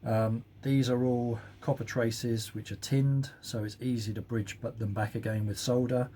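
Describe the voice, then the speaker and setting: Man, mid-pitched, informal and matter-of-fact workshop explainer, faint noise behind. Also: level tone, normal speed, mellow. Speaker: host, audio repair hobbyist or technician. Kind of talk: casual hands-on workshop commentary.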